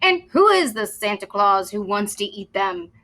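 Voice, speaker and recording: A woman's voice: mid-range voice, big pitch swings, moderate pace, bright, forceful tone. A woman voicing a bold, indignant little male gingerbread pirate captain in a storybook, with exclamatory, commanding delivery. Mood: wondering.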